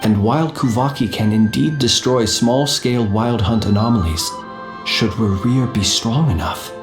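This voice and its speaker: Gentleman soldier for a video game, male actor: measured, composed, formal, middle register, with reverberant sound. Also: medium pitch, over music, close to an everyday voice. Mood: pondering. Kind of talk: video game character dialogue.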